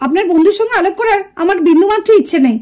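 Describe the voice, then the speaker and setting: Woman as a high-voiced, sharp-tongued village girl. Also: animated, racing. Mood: curt. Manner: sarcastic, curt, muttering scornfully. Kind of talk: puppet cartoon drama acting.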